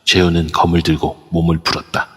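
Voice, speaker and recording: A man narrating an amateur audio drama in a steady storytelling manner. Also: low voice, a little fast, husky. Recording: long reverb over a voice app.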